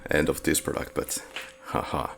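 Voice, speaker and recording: A man's voice: normal speed, a loud crowd. A man hosting a film podcast, upbeat and joking as he introduces his guests. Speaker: podcast host.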